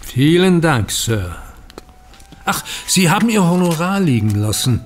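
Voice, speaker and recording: An actor playing an aggrieved, slyly vengeful older banker in an audio drama, speaking with sardonic, deliberate emphasis. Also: male voice, on the high side, sing-song. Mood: sly, satisfied. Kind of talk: German audio drama acting.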